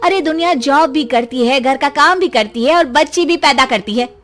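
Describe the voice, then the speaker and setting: A woman voicing a sharp-tongued young wife in a cartoon story, scolding and mocking. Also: sits high, moderate pace, slight background noise.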